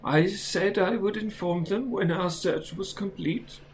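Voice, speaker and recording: A woman playing a calm, dignified old male wizard headmaster in an audio drama, measured and formal. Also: mid-range voice, a little hiss or hum, close to an everyday voice. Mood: calm, firm.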